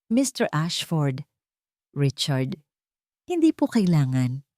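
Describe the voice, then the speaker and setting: A woman narrator acts out a young mother and survivor in an audio drama. Her voice is low and lively in pitch, steady and sincere. The sound is dry and close. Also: takes it slowly, no room sound, full character voice. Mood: gentle, humble. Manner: clear, steady, earnest. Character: resilient young mother, survivor turned earnest advocate.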